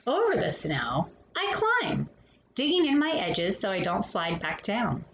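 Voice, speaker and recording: A woman hosting a storytime, reading a children's picture book expressively with dramatic pauses and casual asides. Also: mid-range voice. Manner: animated, dramatic pauses between phrases. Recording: roomy echo with faint background noise.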